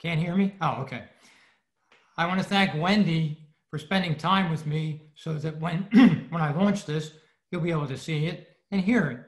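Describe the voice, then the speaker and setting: Older guy doing a club talk on a video call, highish voice, echoey room. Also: normal speed. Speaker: presenter at a club meeting. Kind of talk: informal prepared presentation. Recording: roomy, echoing video call audio.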